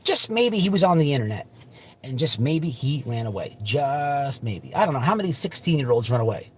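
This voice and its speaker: A man hosting an unscripted livestream, talking bluntly and repetitively to his viewers, with halting false starts. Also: medium pitch, sing-song, husky. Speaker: livestream host.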